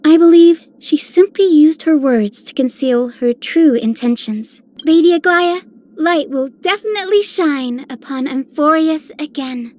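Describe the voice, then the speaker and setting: Young game heroine: very high, sweet, earnest, full of admiration. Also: female voice, unhurried, a little mellow. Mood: earnest, tender. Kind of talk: dramatic game voice acting.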